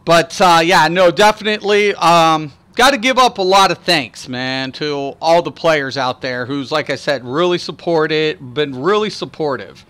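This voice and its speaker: A man's voice: fairly high pitch, unhurried, few pauses, sharp tone, clean tone. A man streaming a game, chatting casually and rambling with lots of fillers while thanking his viewers. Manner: talks to chat viewers by name; laughs often, playful. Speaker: gaming streamer. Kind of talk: casual live-stream chat.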